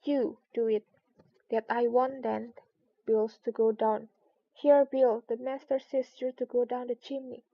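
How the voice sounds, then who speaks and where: A woman's voice: a little high, level tone, measured pace, creaky. A woman voicing bustling, bickering small animal workers in a storybook, urgent and rustic. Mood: hurried, bickering. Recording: dull lo-fi recording.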